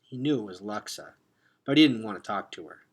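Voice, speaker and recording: A man reading a children's novel aloud with expressive, dramatic emphasis, sometimes hushed. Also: fairly low pitch, a little fast, slightly rough. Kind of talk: expressive storybook read-aloud.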